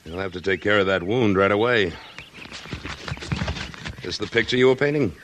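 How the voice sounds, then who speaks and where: Guy acting a tough level-headed cowboy boss, american, low forceful voice, noisy old radio western. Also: moderate pace, close to an everyday voice. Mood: firm, steady. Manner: commanding, terse. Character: firm, level-headed male rancher of the Old West. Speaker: lead actor in a western radio drama.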